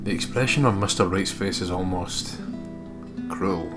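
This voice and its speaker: Deep-voiced man reading game text aloud. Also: measured pace. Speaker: let's play co-host reading the game's text. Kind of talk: expressive narration with crude casual commentary. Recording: game music underneath.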